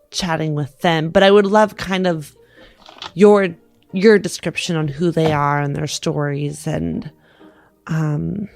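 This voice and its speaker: A woman playing a polite, compassionate wife who hopes to adopt, gentle and hesitant, in a tabletop game. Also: on the low side, gravelly.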